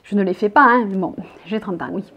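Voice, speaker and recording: A woman's voice: medium pitch, a little fast. Chatty southern French woman in her thirties. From southern France. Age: 30s. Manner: chatty, rambling, reads each question out loud. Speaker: vlogger. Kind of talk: casual chat answering tag questions. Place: bedroom, indoors.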